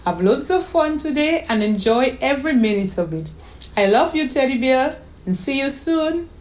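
A woman voicing an affectionate, gently teasing mother in a story, reassuring her young daughter. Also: high-pitched, animated, moderate pace, roomy sound, pitched above the performer's own voice, low-quality recording. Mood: loving.

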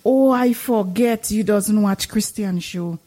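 A woman playing a devout, gullible, excitable older village woman with a Dominican Caribbean accent, gushing and exclamatory, in a drama. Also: medium pitch, slow. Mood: joyful, earnest. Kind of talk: dramatised dialogue in Caribbean creole English.

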